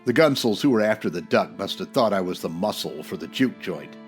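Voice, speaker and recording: A hard-boiled forties radio detective, low in pitch and impatient. He talks in terse slang with dry sarcasm, and music plays clearly behind him. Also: animated, brisk. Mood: terse, wary. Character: hard-boiled 1940s private detective, gruff, sardonic, impatient. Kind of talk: old-time radio detective parody with narration.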